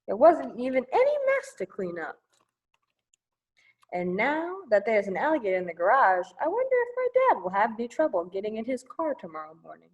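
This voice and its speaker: A woman hosting a children's storytime, reading aloud playfully with casual, exclamatory asides. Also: a little high. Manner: playful, exclamatory, commenting as she reads. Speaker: children's librarian storytime host.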